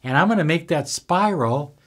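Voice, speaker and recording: A man in his sixties giving instructional self-help talk to camera, deliberate and emphatic, pausing between short phrases. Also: fairly high pitch, expressive, a bit bright. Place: small indoor room with bookshelves.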